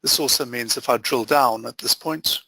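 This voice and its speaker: Bright, gravelly man giving a software walkthrough. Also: mid-range voice, moderate pace, no echo, low-quality recording. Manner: methodical, explains step by step in short phrases. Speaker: software trainer presenting a webinar demo. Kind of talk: instructional screen-share walkthrough.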